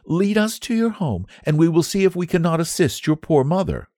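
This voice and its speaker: A man playing a kind, tender young gentlewoman in a storybook, speaking in a gentle, comforting manner. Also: on the high side, very animated, normal speed, no echo, clean studio sound, pitched above the performer's own voice. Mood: kindly.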